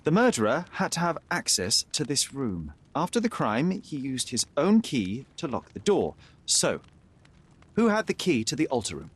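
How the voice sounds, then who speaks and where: A man's voice: medium pitch, huge pitch swings, normal speed, husky. An actor playing a young male detective, speaking in a precise, composed and formal manner. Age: young adult.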